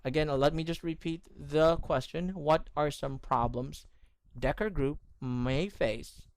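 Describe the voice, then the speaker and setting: A man's voice: a little high, a little slow, no room sound. A man teaching English in an online lesson, correcting pronunciation and praising his student often. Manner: encouraging, corrective, repeats praise.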